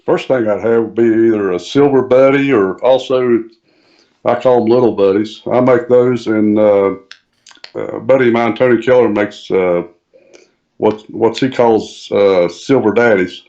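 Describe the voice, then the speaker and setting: A man's voice: fairly low pitch, measured pace, warm tone. A man speaking as a podcast guest, explaining things casually in a plain-spoken, practical way over call-in audio. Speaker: podcast guest, tournament angler. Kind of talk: casual explanatory interview chat. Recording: dull, muffled call-in audio.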